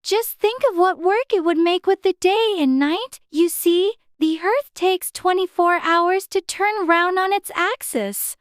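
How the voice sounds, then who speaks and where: A woman's voice: extremely high pitch, measured pace, few pauses, no echo, clean studio sound. A woman voicing an anxious, polite, know-it-all little girl in a dramatized storybook audiobook. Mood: proudly explaining.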